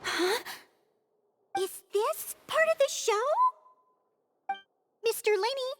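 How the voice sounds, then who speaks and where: A woman voicing a tiny, curious, childlike fairy companion in a cartoonish video game style, squeaky and wide-eyed. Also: lively intonation, takes it slowly, a little hiss or hum, exaggerated voice. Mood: worried surprise.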